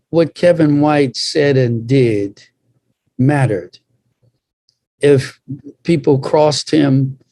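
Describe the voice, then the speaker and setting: An older man, a pastor, telling a reflective story on a panel with deliberate, emphatic phrasing. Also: medium pitch, warm tone, no room sound.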